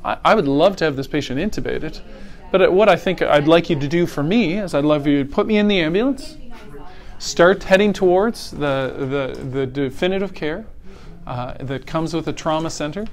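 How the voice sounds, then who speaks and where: A middle-aged man, a paramedic educator, giving a conversational lecture that walks through scenarios and asks the audience rhetorical questions. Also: a little high. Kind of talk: teaching lecture on airway decisions.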